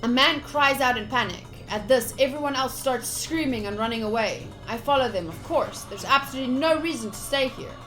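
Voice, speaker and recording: A woman's voice: high voice, expressive, a little fast, few pauses, fairly bright tone, rough recording. A woman streamer reading visual novel text aloud, with casual commentary of her own. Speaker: game streamer. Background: game music.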